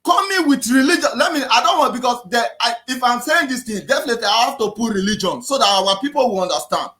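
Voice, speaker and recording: A man with a Nigerian accent giving an impassioned political talk, repeating himself and often raising his voice to a shout. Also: very high-pitched, expressive, fast talker. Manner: preachy, repetitive, often shouting. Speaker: activist speaker.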